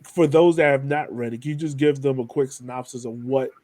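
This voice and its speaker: A man's voice: medium pitch, steady tone, brisk, darkish tone, no room sound. Male podcast host, close dry sound. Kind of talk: casual conversational interviewing.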